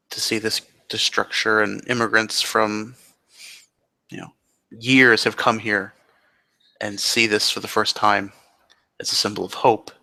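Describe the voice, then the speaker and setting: A man playing a brooding, preoccupied, wistful character in improvised roleplay, musing in halting phrases. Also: on the low side, creaky, sharp tone, exaggerated voice.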